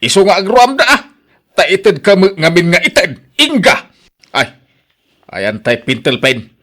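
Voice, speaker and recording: An actor playing a grumpy, stingy old husband in a radio drama, scolding and complaining with an Ilocano accent. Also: male voice, high-pitched, very animated, unhurried, pushed and bright, full character voice. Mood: indignant, grumbling. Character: grumpy, stingy old husband guarding his fruit trees. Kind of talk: Filipino radio drama acting.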